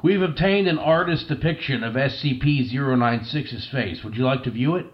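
A man's voice: medium pitch, normal speed, close to an everyday voice. A man playing a formal, composed scientist conducting a debriefing in an audio drama, polite and clinical, on a slightly dull recording. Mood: formal, firm. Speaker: interviewer in an audio play.

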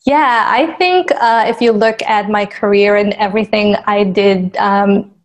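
A woman's voice: mid-range voice, measured pace. A woman from Israel, a marketing executive, answering interview questions in a thoughtful, candid way.

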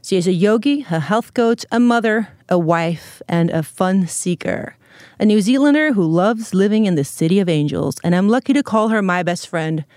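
A woman hosting a podcast, warmly introducing her best friend and asking about her struggles. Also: medium pitch, animated, normal speed, no room sound, studio quality. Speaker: podcast host. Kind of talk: podcast interview. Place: podcast studio.

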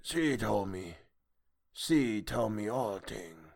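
A man voicing a man-fish sea creature in a storybook, with halting, simple broken speech. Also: fairly low pitch, lively intonation, vocal fry, darkish tone, dry recording, exaggerated voice. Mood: quiet menace. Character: male sea monster, slow, halting, menacing man-fish. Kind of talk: audiobook character acting.

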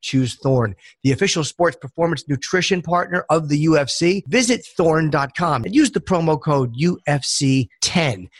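A man's voice: on the high side, expressive, normal speed, hardly any pauses, dry recording, clean studio sound. A man hosting a podcast, reading a sponsor ad in an upbeat promotional way. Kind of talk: ad read.

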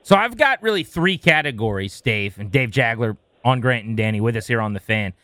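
A man hosts sports radio in a bright, punchy voice of medium pitch. The sound is very dry, and he lays out analysis and questions in a conversational broadcast style. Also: lively intonation, brisk, no echo.

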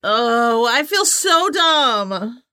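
A woman's voice: a little high, very animated, drawn-out words, sharp tone, smooth, no echo, clean studio sound. A woman co-hosting a casual trivia game, chatting and bantering in a playful, giggly way. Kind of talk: casual bantering quiz chat.

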